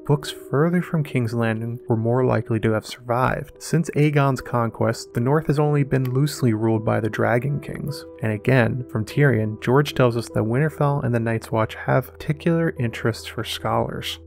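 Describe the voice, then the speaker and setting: A man's voice: mid-range voice, normal speed, gravelly, hardly any pauses, a little mellow, faint music behind. A man presenting scripted, analytical commentary as the host of a fantasy lore show.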